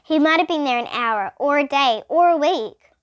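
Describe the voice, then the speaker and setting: A woman's voice: sits high, expressive, normal speed, muffled recording. A female reader narrating a children's storybook aloud, with occasional explanatory asides.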